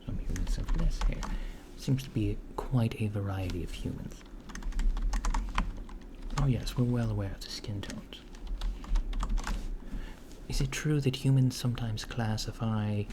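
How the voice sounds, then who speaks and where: A man playing a curious, puzzled alien researcher in a soft-spoken ASMR roleplay, halting and matter-of-fact, often slipping into whispers. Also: medium pitch, close to an everyday voice. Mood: puzzled, clinical. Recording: clear background noise with keyboard typing. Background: computer keyboard typing.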